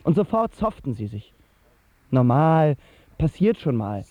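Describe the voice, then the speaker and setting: A young man playing a dazed, heartbroken teenage son of hippie parents, narrating a radio drama in short, halting, reflective sentences. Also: a little high, big pitch swings, a little hiss or hum. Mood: rueful, reflective. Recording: narrow-band radio recording, music behind.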